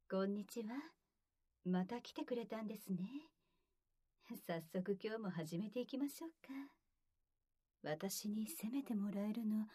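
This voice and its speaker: A woman performing erotic ASMR roleplay in a breathy whisper, with a teasing, gently commanding big-sister manner, close on the mic. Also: mid-range voice, unhurried, long pauses, dry recording. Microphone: close mic, dry.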